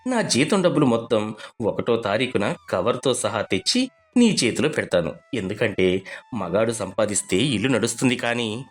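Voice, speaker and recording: A man playing an earnest, emotional husband and father in an animated moral story. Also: medium pitch, natural voice.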